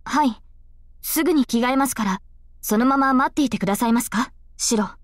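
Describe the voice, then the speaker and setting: Woman acting a modest young lady knight, visual novel, high voice, formal, hesitant, dry close sound. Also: no room sound, lightly acted. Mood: shy, pleading. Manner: polite formal phrasing, hesitant pauses.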